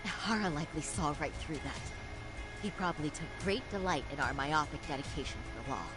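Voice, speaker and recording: A woman's voice: deep voice, fairly quick, music in the background, natural voice. An actress playing a resolute woman lawyer in a crime drama game, formal and precise in her delivery. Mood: indignant, resolute. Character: composed, steely woman lawyer with quiet indignation. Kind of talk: dramatic English game voice acting.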